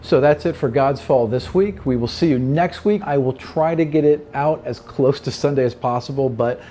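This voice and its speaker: A man's voice: mid-range voice, moderate pace, dark tone, slight background noise. A man working as game master and podcast host, narrating a fantasy tabletop game in a conversational way. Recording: background music under the voice. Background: music.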